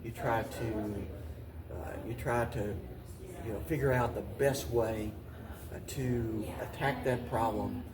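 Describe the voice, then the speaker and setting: An American man answering interview questions in a deliberate, halting way, often restating his phrases as he goes. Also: medium pitch, fairly even intonation, a crowd in the background. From Paducah, Kentucky, United States. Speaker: mayor and interview guest.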